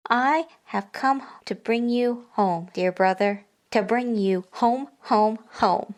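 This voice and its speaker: A woman playing an eager, affectionate little girl in a classic radio drama, tender and excited. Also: on the high side, slow pace. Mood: joyful, tender.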